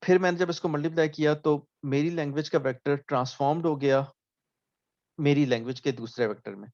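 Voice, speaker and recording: A man's voice: on the high side, darkish tone, no echo. Man explaining, dull dry recording. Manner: explains slowly, often asks "ठीक है?", restarts words. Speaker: lecturer. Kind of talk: recorded math lecture.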